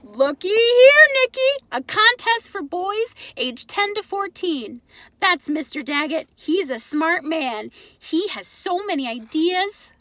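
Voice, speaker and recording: A woman's voice: very high voice, big pitch swings, takes it slowly, a bit bright, dry recording, voice pushed up, full character voice. A woman voicing an earnest, enthusiastic father who reads the newspaper aloud emphatically in a children's storybook.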